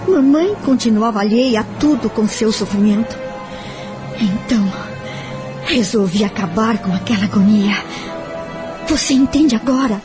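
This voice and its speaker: A woman's voice: fairly high pitch, measured pace, long pauses, over music, close to an everyday voice. A woman with a Brazilian accent acting a wounded young woman who confides her past in an audio novel. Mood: sorrowful. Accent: Brazilian Portuguese. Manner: sorrowful, confiding.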